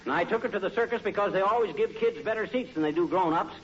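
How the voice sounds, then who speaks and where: A man's voice: high voice, quick pace, natural voice. A man with an American accent playing a grumpy, blustering middle-aged husband who hides his sentimental side, in 1940s radio comedy style. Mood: indignant, defensive. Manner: blustering, folksy, grumbling. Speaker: comedy lead actor. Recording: noisy, dull old-time radio recording.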